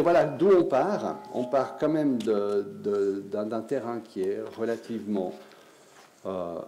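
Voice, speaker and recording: A man's voice: fairly low pitch, vocal fry, dark tone. A man with a Swiss French accent giving a conference lecture on research, explaining step by step with restarts. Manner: explanatory, with restarts and filler words. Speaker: medical researcher giving a talk. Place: conference hall.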